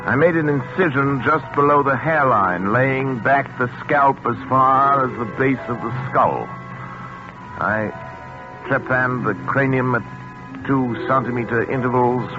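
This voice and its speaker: A man's voice: mid-range voice, measured pace, natural voice. Muffled vintage radio drama, male actor as guilt-ridden surgeon father, grave dramatic confession, music behind. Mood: grimly clinical. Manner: grave, literary, dramatic delivery. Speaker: actor in a radio horror play. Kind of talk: 1940s radio drama acting, first-person narration. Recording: muffled old 1940s radio recording with music.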